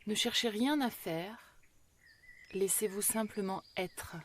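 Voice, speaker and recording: Calm, slow-speaking woman guiding meditation. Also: mid-range voice, a little hiss or hum. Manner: slow, measured delivery with long pauses; calm, soothing, gentle. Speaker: yoga nidra meditation guide. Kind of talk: guided relaxation meditation.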